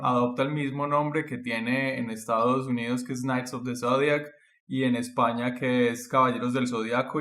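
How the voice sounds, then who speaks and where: A man's voice: mid-range voice, fairly bright tone. A man, talking casually as a podcast co-host. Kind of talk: casual discussion. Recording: echoey, roomy sound.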